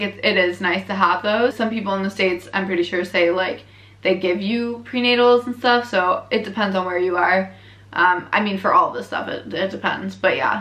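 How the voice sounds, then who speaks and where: A woman's voice: mid-range voice, fairly bright tone. A young Canadian woman vlogger with a Canadian accent, chatting candidly and laughing often as she reacts to her own earlier video. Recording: echoey room.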